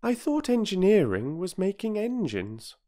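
A man voicing an earnest young girl, high and pleading. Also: wildly swooping pitch, measured pace, warm tone, exaggerated voice. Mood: awed. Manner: eager, pleading, rising questions.

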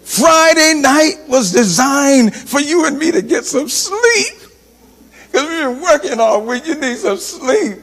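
Middle-aged pastor, high-pitched, animated sermon delivery in a big reverberant sanctuary. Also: male voice, slow pace. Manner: animated, swings from loud to soft, gestures while preaching. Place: large church sanctuary, indoors. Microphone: handheld microphone. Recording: long church reverb.

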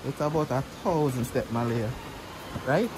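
A man's voice: medium pitch, animated. A man with a Jamaican accent, chatting casually to viewers while hiking down to a waterfall and filming on a handheld camera. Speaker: vlogger. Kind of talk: casual travel vlog narration. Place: outdoors at a river waterfall. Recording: loud waterfall and outdoor noise. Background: rushing water, insects.

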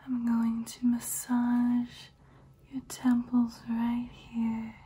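Woman playing a slow, whispering, soothing vampire. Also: on the high side, monotone, dark tone, exaggerated voice. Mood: soothing, hypnotic. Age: young adult. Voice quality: breathy whisper. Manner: slow, soothing, hypnotic, repetitive. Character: soothing, hypnotic ancient female vampire. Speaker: ASMR performer. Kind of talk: ASMR personal-attention roleplay. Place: small room indoors.

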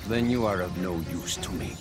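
Casting: icy scientist villain in a video game, low register, clinical formal menace. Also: male voice, expressive, normal speed. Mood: cold menace. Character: cold, grieving bald villain scientist in a cryogenic suit. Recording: loud music under the voice.

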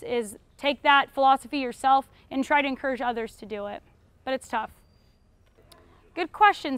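Young American female teacher, high-pitched, informal Q&A lecture delivery, dry recording. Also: fairly bright tone, no echo. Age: young adult. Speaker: beekeeping class instructor. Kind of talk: informal lecture answering audience questions. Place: retail store aisle in front of a seated audience.

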